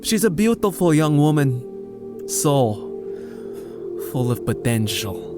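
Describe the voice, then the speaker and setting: A man's voice: fairly high pitch, very animated, takes it slowly, music in the background. A man playing an arrogant, scheming gamma scientist who speaks haughtily. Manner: haughty and demanding.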